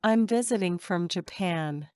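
A woman reads short practice sentences in a measured, careful way, each one repeated. Her voice is smooth and resonant, pitched a little low. The sound is dry and close, with no room around it. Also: darkish tone, no room sound. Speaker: English phrase reader. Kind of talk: slow repeated drill phrases.

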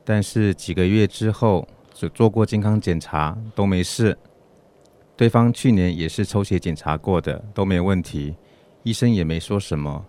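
A man's voice: sits low, moderate pace, dark tone, close to an everyday voice. A man acting out an anxious listener's account in a radio drama. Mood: worried recounting. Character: anxious man recounting a worry to a doctor. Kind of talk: radio drama letter reading.

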